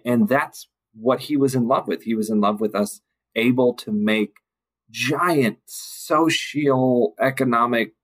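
Man speaking in halting, emphatic phrases. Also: medium pitch, low-quality recording. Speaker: podcast guest. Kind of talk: reflective podcast conversation.

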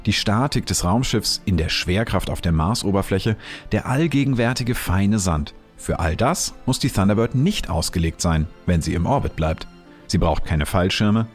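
A man giving calm, explanatory scripted documentary narration. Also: deep voice.

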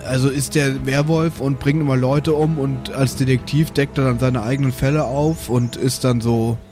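A man's voice: mid-range voice, fairly even intonation, moderate pace, over music. A man in casual, joking banter as a player in a tabletop role-playing game.

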